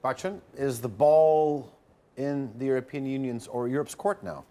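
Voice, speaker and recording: A male anchor with a mid-range voice steers a live studio panel. He talks briskly and conversationally, with a few fillers as he tees up questions. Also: medium pitch, warm tone. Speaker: news anchor. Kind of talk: live news presenting.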